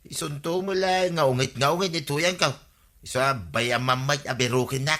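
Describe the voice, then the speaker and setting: A man's voice: medium pitch, normal speed, fairly bright tone, close to an everyday voice. A man playing a grumbling, pushy village drinking buddy in a comedy radio drama, complaining and cajoling with an Ilocano accent. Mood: scheming.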